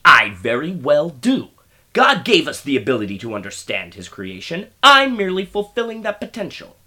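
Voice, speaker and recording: A man's voice: fairly high pitch, wildly swooping pitch, normal speed, sharp tone, full character voice. A man playing a grandiose, short-tempered mad scientist villain in a radio play, with theatrical delivery.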